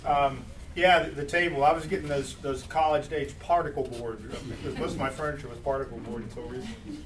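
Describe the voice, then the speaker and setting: A man's voice: medium pitch, fairly even intonation, a little fast. A man acting as host, giving an informal live introduction of a reader. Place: indoor live reading event. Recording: echoey room, distant mic, clear background noise.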